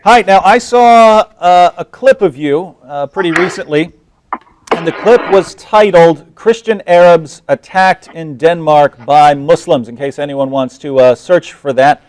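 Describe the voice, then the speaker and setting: A man hosting an interview show, speaking in halting, deliberate phrases. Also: on the high side, darkish tone, rough recording. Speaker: host interviewing guests. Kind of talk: hosted interview talk show.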